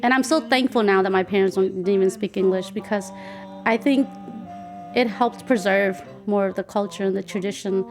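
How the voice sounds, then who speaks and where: A woman's voice: mid-range voice, normal speed, music in the background. A woman, talking casually and reflectively while she cooks. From Nashville, United States. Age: 40s. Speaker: home cook, interviewee. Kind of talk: casual storytelling while cooking. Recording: clicky, noisy kitchen field recording.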